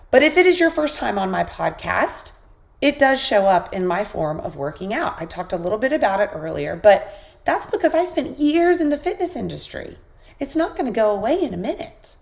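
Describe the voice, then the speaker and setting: A woman hosting a podcast, giving an encouraging motivational talk while sick with a squeaky voice. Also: creaky. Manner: encouraging, coaching listeners directly. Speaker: podcast host.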